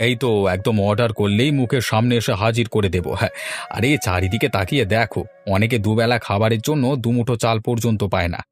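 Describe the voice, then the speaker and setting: Casting: male actor as cheerful well-off cartoon husband, rapid, talkative, coaxing and self-satisfied. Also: lowish voice, faint music behind, lightly acted. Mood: boastful. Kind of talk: Bengali cartoon dialogue.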